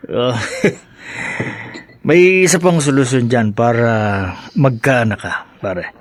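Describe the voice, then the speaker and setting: An actor playing a brash, callous Filipino drinking buddy in a radio drama, scoffing, teasing and pushy. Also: male voice, mid-range voice, expressive, slow, no echo. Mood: pushy, scheming.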